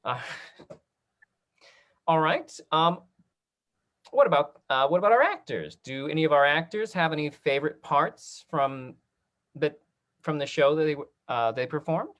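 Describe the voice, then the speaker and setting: Lively, encouraging male moderator. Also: on the high side, unhurried, long pauses, lo-fi sound. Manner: encouraging, affirming. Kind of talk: casual hosted discussion.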